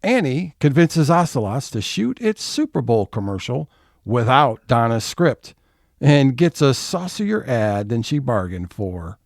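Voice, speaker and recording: Animated male serial narrator. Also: mid-range voice, a little slow, dry recording, studio quality. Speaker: announcer and narrator. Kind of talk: scripted comedy serial narration.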